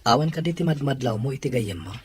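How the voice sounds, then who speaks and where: A man's voice: medium pitch, expressive, fast, a little hiss or hum, close to an everyday voice. Earnest young Ilocano boyfriend acted by a man. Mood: pleading. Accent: Ilocano Filipino. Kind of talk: Ilocano radio drama acting.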